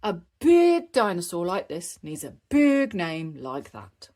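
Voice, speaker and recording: A woman's voice: fairly low pitch, wildly swooping pitch, slow, mellow, full character voice. A woman voicing a proud adult male rabbit expert in a children's storybook, making emphatic announcements.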